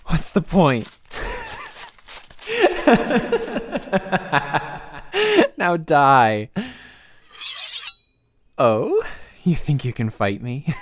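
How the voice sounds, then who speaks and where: A man's voice: on the high side, sing-song, a little hiss or hum, exaggerated voice. A man playing a sly, mocking vampire who poses as an awkward hiker in an audio drama. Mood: gloating, menacing. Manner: halting, coy, mocking. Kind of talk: cinematic audio roleplay acting.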